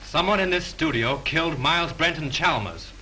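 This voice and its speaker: A man's voice: a little high, animated, bright, forceful tone, low-quality recording. An actor playing a brash, sarcastic young man who turns amateur detective, in 1930s film style, with faint hiss from an old film soundtrack. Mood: wry. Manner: brash, quick-witted, sarcastic.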